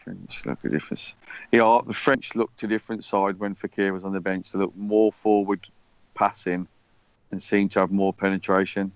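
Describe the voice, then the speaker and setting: Low-voiced caller on a muffled line. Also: male voice, flat delivery, normal speed, no echo. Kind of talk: call-in football chat. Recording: muffled call-in audio.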